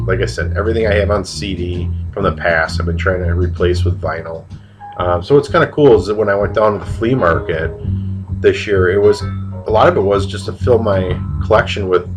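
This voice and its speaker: Man, very low voice, nasal from a cold, rambling vlog chat, guitar music behind. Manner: rambling, halting, many fillers and restarts. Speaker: vinyl record collector showing finds. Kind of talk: casual video vlog.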